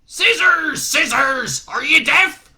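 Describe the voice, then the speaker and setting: A man voicing a gruff, testy old Witchfinder sergeant with a rumbling voice and an accent that wanders across British regions. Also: big pitch swings, takes it slowly, bright, forceful tone, heavily acted. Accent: wandering mix of British regional accents.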